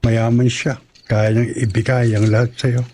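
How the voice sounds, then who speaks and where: A man's voice: mid-range voice, level tone, normal speed, a little mellow, close to an everyday voice. An actor playing a humble, self-deprecating young male suitor in a radio drama, meek and sincere.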